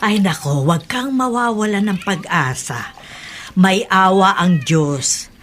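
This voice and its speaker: An actress in a Filipino radio drama playing a warm, affectionate, hopeful older woman, with an expressive delivery. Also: mid-range voice, slow, slight background noise. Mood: gentle, consoling.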